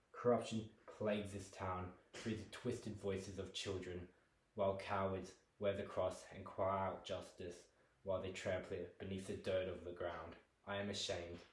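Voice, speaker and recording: Young guy doing a condemned prisoner begging forgiveness, lowish voice, echoey room. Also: natural voice. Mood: bitter. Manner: solemn, builds to furious shouting. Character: guilt-ridden condemned man praying in jail. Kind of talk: dramatic monologue performance. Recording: roomy echo.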